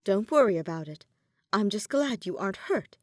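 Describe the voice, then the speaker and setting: A woman doing a calm, formal young noblewoman. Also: mid-range voice, moderate pace, no room sound, clean studio sound. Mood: gentle. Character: composed, gentle young woman leader.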